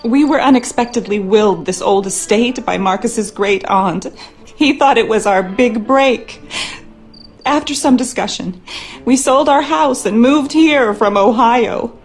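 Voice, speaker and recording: A woman's voice: on the high side, unhurried, faint music behind. An actress playing a worried, skeptical wife and mother, speaking earnestly and dramatically in a game monologue.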